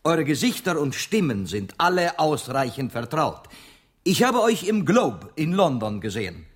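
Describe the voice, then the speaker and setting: A man playing a gallant, self-assured Spanish gentleman interpreter in a German radio drama, with a Spanish accent. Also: a little high, big pitch swings, moderate pace, breathy edge. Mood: courtly welcome.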